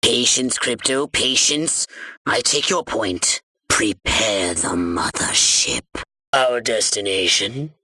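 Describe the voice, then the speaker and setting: A man voicing a pompous, short-tempered alien commander in a video game, haughty and precisely worded, with a nasal, sharp-edged delivery. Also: on the low side, takes it slowly, slightly rough, no echo, heavily acted. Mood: scheming, measured. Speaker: mission commander giving orders. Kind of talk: theatrical video game voice acting.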